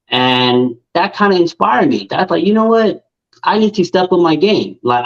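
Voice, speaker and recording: A man's voice: a little high, lo-fi sound. Upbeat man in his thirties, from Vietnam. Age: 30s. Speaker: guest, nail technician and influencer. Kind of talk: casual interview storytelling.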